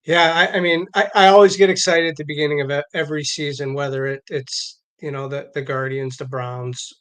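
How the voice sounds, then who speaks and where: A man's voice: fairly high pitch, fairly even intonation, a little fast, fairly bright tone, clear, resonant tone. A man co-hosting a sports show with casual chat, often repeating words as he goes. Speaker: co-host of a sports card live stream.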